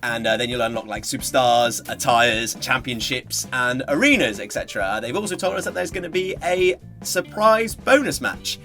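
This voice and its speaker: A man's voice: medium pitch, lively intonation, normal speed, sharp tone, soft background music. A man giving casual reaction commentary on game footage as a gaming channel presenter. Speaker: YouTube gaming reviewer and presenter.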